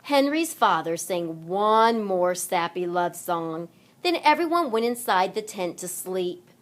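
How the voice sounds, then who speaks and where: A woman narrating a children's read-along story aloud in an expressive, deliberate manner. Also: on the low side. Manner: deliberate, animated delivery for children.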